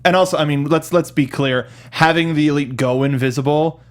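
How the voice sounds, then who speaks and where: A man with a slightly high voice talks as co-host of a movie review show. He chats casually and conversationally, slipping into imagined dialogue as he explains things. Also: steady tone, brisk. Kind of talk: casual chat breaking down a movie.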